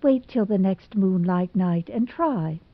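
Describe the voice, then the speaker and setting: A woman's voice: mid-range voice, animated, moderate pace, dry recording, narrow, tinny audio, close to an everyday voice. Woman reading a dry, wry young woman. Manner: dry, level, wryly humorous.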